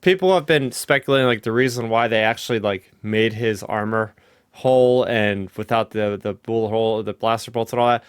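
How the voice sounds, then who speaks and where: Male co-host, smooth clear mid-range voice, chatty and informal, dry studio-close sound. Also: on the low side, a little fast, dry recording. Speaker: podcast co-host. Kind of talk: casual fan podcast chat.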